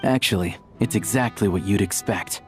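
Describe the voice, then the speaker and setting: An actress playing a cool, knowing confidant in a video game. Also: female voice, rumbling low, moderate pace, faint music behind. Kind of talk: game voice acting.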